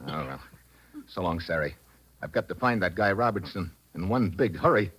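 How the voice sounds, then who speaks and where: An actor playing a hard-boiled, urgent American adventurer in an old radio drama. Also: male voice, low-pitched, animated, moderate pace, fairly bright tone, slightly rough, faint music behind, exaggerated voice. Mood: wry.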